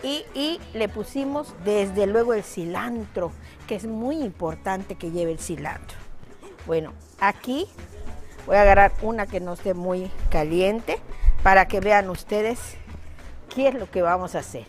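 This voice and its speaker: A woman's voice: medium pitch, expressive, a little slow, faint music behind. A middle-aged woman hosts a live TV cooking segment in a studio kitchen, chatty and affectionate with viewers, full of exclamations. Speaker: TV cooking show host.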